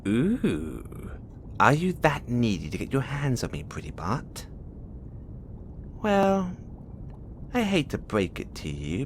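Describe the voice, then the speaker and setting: Man voicing a mischievous space demon, animated and teasing. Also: medium pitch, slight background noise, full character voice. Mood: flirtatious, cocky. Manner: playful, teasing, giggles often. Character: mischievous, flirtatious male space demon escaped from prison. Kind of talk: audio roleplay acting addressed to the listener.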